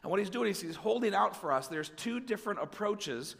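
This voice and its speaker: Male pastor, raspy from a cold, fairly high voice, casual sermon delivery, long hall reverb. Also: expressive, fairly quick, fairly bright tone. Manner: conversational, asides to the congregation. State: has a cold, slightly raspy. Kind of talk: sermon with scripture reading.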